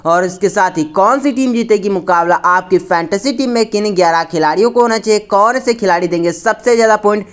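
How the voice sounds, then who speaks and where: A woman's voice: mid-range voice, a little fast, few pauses. A woman narrating a scripted sports preview for an online video, addressing viewers directly in a formulaic way. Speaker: cricket match preview presenter.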